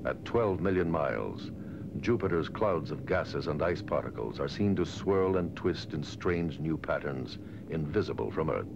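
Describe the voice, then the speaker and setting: A man reading scripted documentary narration in a measured, formal way, on a dated soundtrack. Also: extremely low pitch, lively intonation, creaky, noisy background. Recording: dull, dated lo-fi film soundtrack. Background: music.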